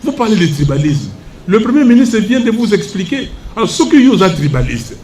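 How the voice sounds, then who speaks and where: A man's voice: sits high, big pitch swings, moderate pace, a little mellow, slight background noise. An older Congolese man whose first language is Lingala, answering a press conference question in an indignant, emphatic way. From Democratic Republic of the Congo. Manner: indignant, emphatic, switches between French and Lingala. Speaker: opposition politician at press conference.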